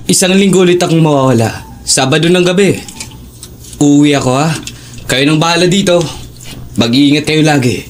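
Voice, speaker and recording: Guy playing filipino dad, protective, a bit high pitched, audio drama, faint noise. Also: normal speed, long pauses, lightly acted. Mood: caring, instructing. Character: protective working husband and father.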